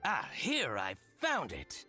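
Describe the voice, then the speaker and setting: Scheming male merchant, video game villainy, theatrical, spiteful, slow drawn-out pace, extremely animated pitch, light music. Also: exaggerated voice. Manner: exaggerated, scheming, drawn-out emphasis.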